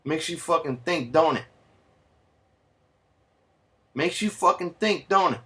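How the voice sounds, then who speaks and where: A man's voice: on the high side, animated, normal speed, pushed and bright. A man from the United States, ranting heatedly and sarcastically on a vlog, swearing constantly and dropping in Spanish slang. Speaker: vlogger. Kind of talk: profane angry rant.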